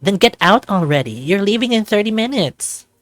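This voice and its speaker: Man putting on a higher-pitched bratty, taunting sibling. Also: very animated, moderate pace, dry recording, soft background music. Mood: scornful. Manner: blunt, taunting. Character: bratty, blunt, insulting furry sibling at home. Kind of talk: visual novel character voice-over.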